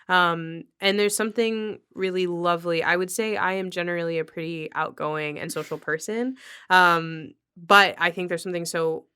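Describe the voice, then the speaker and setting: Casting: female podcast host, slightly low register, effusive and sociable, conversational delivery. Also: pushed and bright, smooth. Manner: outgoing, effusive, quick to laugh. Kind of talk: casual conversational podcast interview.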